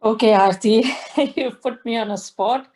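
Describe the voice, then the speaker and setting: A middle-aged woman from Tanzania, a singer on a video call, talking slowly with many fillers and restarts. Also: on the high side, darkish tone. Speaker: guest singer. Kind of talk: interview over video call. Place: indoor home room.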